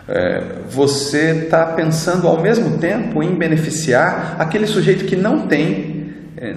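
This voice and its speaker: A man with a Brazilian accent gives an informal explanatory lecture as an instructor, in a conversational way with frequent tag questions. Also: on the high side. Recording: very echoey reverberant room, background noise.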